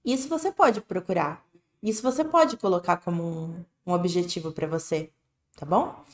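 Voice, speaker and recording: A woman's voice: on the low side, normal speed, darkish tone. A woman with a Brazilian Portuguese accent, teaching an English lesson on a live stream in a casual, direct way, often checking in with "né?" and "tá bom?". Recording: echoey room.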